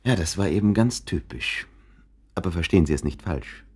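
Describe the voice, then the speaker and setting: A man's voice: sits low, animated, moderate pace, a little mellow, breathy edge. A man playing a chatty, confiding narrator in a radio play, musing and interrupting himself with asides. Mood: amused, musing. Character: chatty, confiding male narrator showing listeners around an apartment.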